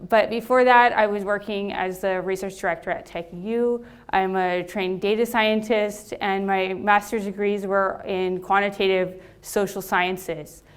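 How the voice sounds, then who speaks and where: Smooth-voiced American woman giving a talk. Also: medium pitch, steady tone, measured pace, few pauses. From Los Angeles. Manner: hesitant, frequent ums and restarts. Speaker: meetup speaker. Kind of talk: live talk to an audience. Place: meetup venue with seated audience.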